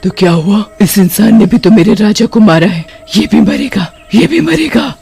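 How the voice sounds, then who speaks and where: A man voicing a vengeful, grieving witch ghost in an animated horror story, dramatic and drawn-out. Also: sits high, vocal fry, faint music behind, exaggerated voice.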